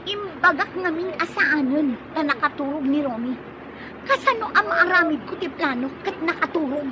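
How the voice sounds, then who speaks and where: A woman's voice: high voice, animated, normal speed, dull audio. A woman playing a frantic, blaming ferry passenger in a radio drama, urgent and scolding. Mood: reproachful. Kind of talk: Ilocano radio drama acting. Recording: noisy recording with loud outdoor ambience.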